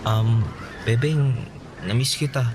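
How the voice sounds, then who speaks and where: A man's voice: mid-range voice, steady tone, takes it slowly, background noise, natural voice. An actor playing an earnest, lovestruck boy from a travelling fair, hesitant and sincere, in a Tagalog radio drama. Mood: shy, earnest.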